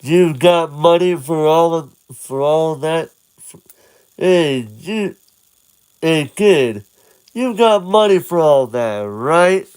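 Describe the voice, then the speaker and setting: A man voicing a pushy male street food vendor in a retold game story, hawking his wares loudly. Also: fairly high pitch, expressive, takes it slowly, smooth, voice pushed up. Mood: suspicious. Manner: loud hawking sales patter.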